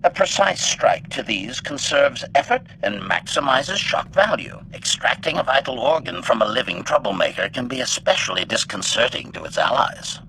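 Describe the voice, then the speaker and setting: Creaky, bright, murderous robot servant. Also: male voice, medium pitch, expressive, moderate pace, few pauses, slight background noise, poor audio. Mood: coldly eager. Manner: clipped, formal, announces each statement type. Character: polite, bloodthirsty male assassin droid servant, coldly analytical. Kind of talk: video game character acting.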